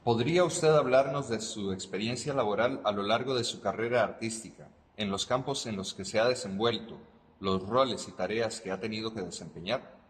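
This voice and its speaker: A man interviewing an artist, asking polite, measured formal questions. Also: fairly low pitch. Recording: reverberant hall with faint ambient noise.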